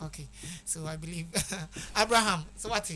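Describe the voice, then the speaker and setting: A man's voice: a little high, normal speed. A man with a Ghanaian accent, a pastor hosting a casual radio interview who laughs often and switches between English and Twi.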